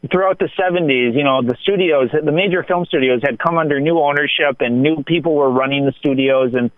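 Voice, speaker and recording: A man's voice: on the high side, a little fast, no room sound, narrow, tinny audio. Rambling American man, dry radio sound. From United States. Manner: rambling, digressive storytelling. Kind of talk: casual reminiscing film talk.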